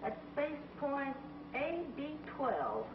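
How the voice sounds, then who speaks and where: An earnest space traveler. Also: female voice, sits high, huge pitch swings, slow, background noise, full character voice. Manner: earnest, questioning. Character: young woman space traveler, anxious and sharp. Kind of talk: 1950s TV sci-fi acting. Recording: dull old 1950s TV soundtrack, loud music behind.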